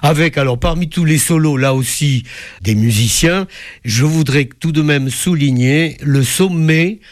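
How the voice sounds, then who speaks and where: A man's voice: fairly high pitch, a little slow, no echo. Effusive man, close dry sound. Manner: effusive, literary turns of phrase. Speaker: music critic reviewing a concert. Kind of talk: enthusiastic spoken concert review.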